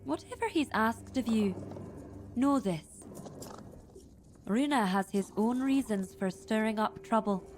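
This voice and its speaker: Measured high-voiced chieftain played by an actress. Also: female voice, a little mellow, a little hiss or hum, close to an everyday voice. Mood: resigned, wary. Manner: deliberate, formal, weighty phrasing. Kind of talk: dramatic fantasy game dialogue. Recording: music behind the voice.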